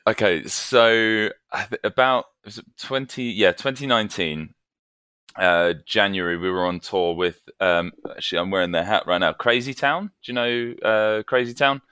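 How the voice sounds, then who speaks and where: British man, band vocalist, lowish pitch, close dry sound, casual storyteller, animated quoted dialogue. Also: normal speed, clean tone, dry recording. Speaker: podcast guest, metalcore band vocalist.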